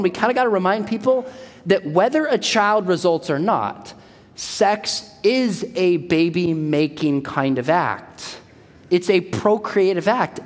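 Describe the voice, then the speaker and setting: A man in his forties giving an emphatic church talk, repeating his key points. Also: high-pitched. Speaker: speaker at a church. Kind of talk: sermon-style talk. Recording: long hall reverb.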